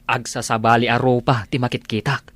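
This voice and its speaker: A man's voice: mid-range voice, level tone, a little fast, fairly bright tone, no echo, close to an everyday voice. Encouraging, pushy best buddy played by a man, Ilocano accent, mid voice, conversational radio drama. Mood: urging. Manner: urging, chummy.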